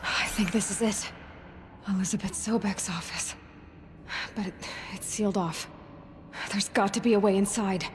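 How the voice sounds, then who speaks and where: An actress plays a young female explorer in a video game, determined and full of questions. Her mid-pitched voice is earnest and a little breathy, with faint ambience behind it. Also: fairly even intonation, moderate pace, long pauses, noisy background, close to an everyday voice. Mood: searching. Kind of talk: video game dramatic dialogue.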